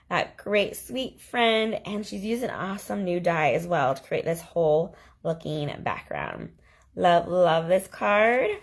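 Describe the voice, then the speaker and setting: A woman hosting a stamping craft video, chatting enthusiastically as she shows cards, her voice slightly hoarse from a lingering cough. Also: mid-range voice. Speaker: stamping demonstrator and channel host. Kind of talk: casual crafting video walkthrough.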